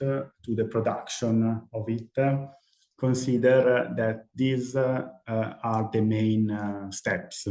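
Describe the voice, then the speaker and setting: An Italian-accented man walks through a webinar presentation in a fairly low voice. He pauses often with fillers and restarts his phrases. The sound has a roomy echo. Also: darkish tone. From Italy. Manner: hesitant, many fillers and restarts. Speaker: talent acquisition recruiter presenting.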